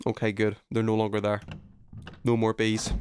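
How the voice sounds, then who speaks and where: A man's voice: on the low side, monotone, moderate pace, darkish tone, a little hiss or hum. A man live streaming a video game with casual, slangy commentary full of swearing.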